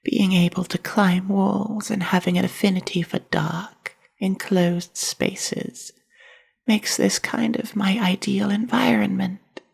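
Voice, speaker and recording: Woman voicing a husky, creaky, reserved spider-woman librarian. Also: medium pitch, steady tone, exaggerated voice. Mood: calm, explanatory. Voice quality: soft, breathy, husky with heavy creak. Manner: formal, measured, slightly stilted and reserved. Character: reserved, bookish young female drider librarian, half-spider university student. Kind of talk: ASMR roleplay, soft-spoken monologue to the listener.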